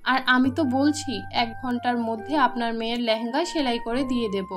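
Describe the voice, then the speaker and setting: A woman's voice: on the high side, steady tone, brisk, a bit bright, soft background music, close to an everyday voice. A woman voicing a kind, patient young seamstress, polite and earnest, in a children's cartoon. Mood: apologetic.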